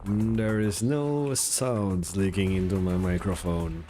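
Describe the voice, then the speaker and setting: A man's voice: sits low, steady tone, takes it slowly, mellow. A man livestreaming a game in casual chat, rambling and self-deprecating as he searches for words, with game music behind him. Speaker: game streamer. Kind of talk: casual live stream chat.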